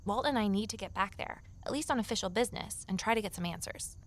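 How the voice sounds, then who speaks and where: A woman's voice: medium pitch, fast, close to an everyday voice. A woman playing an earnest, stubborn young amateur sleuth in an audio drama, narrating in a natural, conversational, wry way. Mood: determined. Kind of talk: first-person audio drama narration and dialogue.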